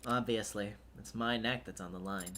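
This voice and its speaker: A man's voice: on the low side, steady tone, moderate pace, bright, forceful tone, a little hiss or hum, voice pushed down. A man voicing a cynical, hard-edged defense lawyer in a video game, with a clipped, lecturing manner. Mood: brisk, impatient. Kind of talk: video game character voice acting.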